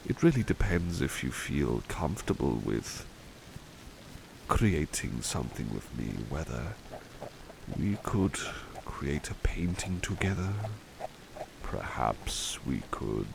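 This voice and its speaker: A man's voice: a bass voice, very animated, slow pace, heavily acted. A man playing a devoted, mild-mannered butler in an ASMR roleplay, speaking politely and formally, with hesitant, musing phrasing. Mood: eager, hesitant. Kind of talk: ASMR roleplay, personal attention. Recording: clearly noisy ambience behind voice.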